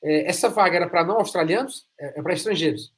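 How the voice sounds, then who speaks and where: A man's voice: sits high, quick pace, slight background noise. A Brazilian man hosting an interview podcast, talking emphatically and repeating phrases for stress. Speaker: podcast host. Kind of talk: enthusiastic interview chat.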